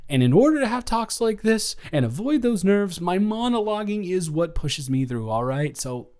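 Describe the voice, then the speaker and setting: A young man playing a nervous, loving male college student in an improvised intimate audio roleplay, speaking in halting, rambling runs with stammers and restarts. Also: high-pitched, sing-song, darkish tone. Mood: nervous, earnest.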